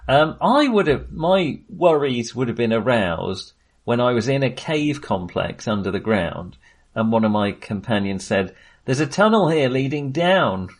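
A man's voice: medium pitch, big pitch swings, unhurried. A man hosting a casual film discussion, wry and amused, rambling and hesitant as he trails off mid-sentence. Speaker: film podcast host.

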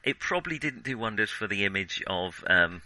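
A man hosting a casual film discussion, talking in a hesitant, halting and wordy way. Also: on the low side, expressive, pushed and bright, no echo. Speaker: film podcast host.

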